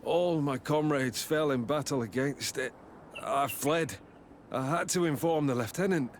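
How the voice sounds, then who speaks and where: Man playing slow, stammering, shaken soldier. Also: a little high, a little hiss or hum, lightly acted. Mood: shaken, fearful. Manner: halting, stammering. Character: shaken male soldier, lone survivor of beast attack. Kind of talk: video game cutscene acting.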